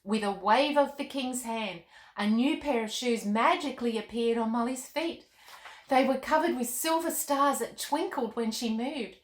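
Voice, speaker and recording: A woman reading expressively to children as a storytime host, with a gentle, deliberate delivery. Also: on the high side. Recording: roomy, echoey room.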